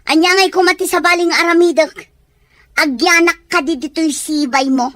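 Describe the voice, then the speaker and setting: Exasperated, high-voiced scolding wife. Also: female voice, fairly even intonation, normal speed, pushed and bright, no room sound. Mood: scolding, indignant. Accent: Ilocano. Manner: scolding, complaining. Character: exasperated, sharp-tongued working wife in a quarreling marriage. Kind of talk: Ilocano radio drama acting.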